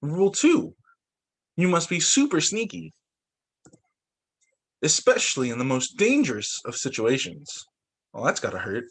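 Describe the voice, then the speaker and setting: A man's voice: on the high side, big pitch swings, a little slow, gravelly, pauses often, a bit bright, husky. A young man, a teacher, reading picture books aloud to children over a video call and breaking in with little asides and exclamations. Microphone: computer mic on a video call.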